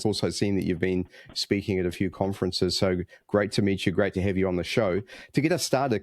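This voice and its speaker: A man hosting a diving podcast, reading a scripted introduction and then chatting with a guest in a measured, friendly way. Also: low-pitched, darkish tone, no room sound, studio quality. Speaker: podcast host.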